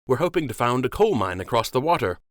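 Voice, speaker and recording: A man voicing a calm, courteous young rabbit captain. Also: mid-range voice, no echo, clean studio sound, pitched above the performer's own voice, lightly acted. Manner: courteous, measured.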